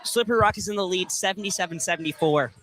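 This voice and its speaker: A man's voice: high-pitched, quick pace, fairly bright tone, poor audio. A man giving live color commentary on college basketball for radio, emphatic and often repeating his phrases, inside a gym. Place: indoor college field house during a game. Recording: live gym broadcast with background noise.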